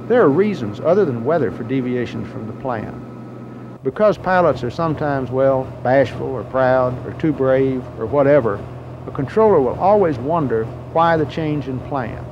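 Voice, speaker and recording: A man reading scripted instructional narration for pilots in a plain-spoken, explanatory manner. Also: medium pitch. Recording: muffled, noisy old training tape.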